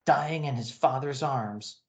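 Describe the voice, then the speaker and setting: A man's voice: medium pitch, slow, echoey room. A man co-hosting a comic-book podcast, narrating and commenting. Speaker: podcast co-host.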